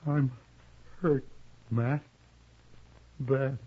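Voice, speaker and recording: A man's voice: medium pitch, steady tone, very slow, husky. An actor playing a bitter, rueful outlaw gunman with folksy, plain speech in a 1950s radio western. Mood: pained, regretful. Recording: muffled old 1950s radio recording.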